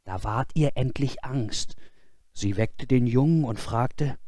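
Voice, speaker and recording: Male storybook narrator: unhurried, expressive, deliberate, very dry sound. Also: medium pitch, no echo. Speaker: storyteller reading a fairy tale.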